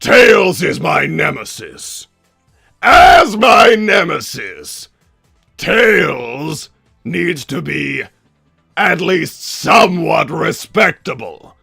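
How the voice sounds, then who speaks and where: A man playing a flamboyant, excitable mad-scientist villain hosting a livestream, theatrical, exasperated and rambling, with a raspy voice. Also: a little high, very slow, no room sound, studio quality, soft background music, exaggerated voice. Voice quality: gravelly, raspy. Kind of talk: improvised in-character livestream hosting.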